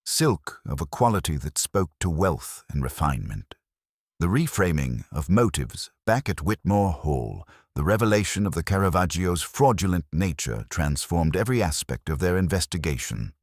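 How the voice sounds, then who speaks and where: A man reading a scripted mystery story as narrator, in a measured, even-paced way. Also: low-pitched, dry recording, studio quality.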